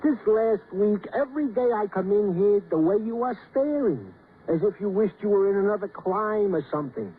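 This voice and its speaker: An actor playing a fussy, doting police sergeant sidekick with an American accent and ornate, roundabout phrasing in an old radio drama. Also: male voice, sits high, big pitch swings, normal speed. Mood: fretful, solicitous. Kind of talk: 1950s radio drama acting. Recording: tinny, noisy old radio broadcast.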